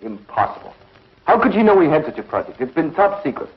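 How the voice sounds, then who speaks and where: An actor playing an agitated scientist in a 1950s television sci-fi serial. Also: male voice, on the high side, very animated, fast talker. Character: urgent, agitated scientist ally of a space hero. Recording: dull vintage TV soundtrack.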